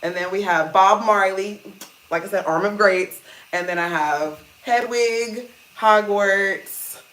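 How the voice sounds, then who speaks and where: A woman with a deep voice hosting a casual hair-care livestream, chatting to viewers and calling them "you guys" while she steams her hair. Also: takes it slowly, a bit bright, rough recording. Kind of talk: casual live-stream chat reading comments. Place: indoors at home, seated on a small chair. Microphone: phone.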